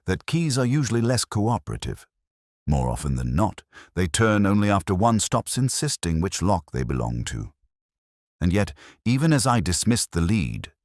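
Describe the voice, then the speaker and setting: A man narrating a scripted first-person detective story in a measured, literary, reflective way. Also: a bass voice, a little mellow, no echo, studio quality.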